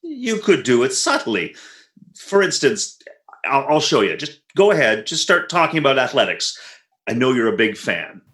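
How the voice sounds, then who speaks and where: A man's voice: medium pitch, big pitch swings, moderate pace, fairly bright tone. Flustered radio-comedy husband with swooping inflections, acted by a man. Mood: sly, wry. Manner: flustered, incredulous, wry. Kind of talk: re-enacted 1940s radio comedy acting. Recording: roomy, echoing voice recording.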